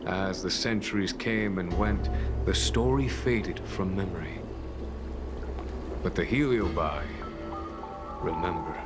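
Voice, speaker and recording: A grave general in a story-driven game, with a very low voice. He speaks formally and solemnly, measuring each phrase as he tells of old legends over clear background music. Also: takes it slowly, pauses often. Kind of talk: scripted dramatic game monologue.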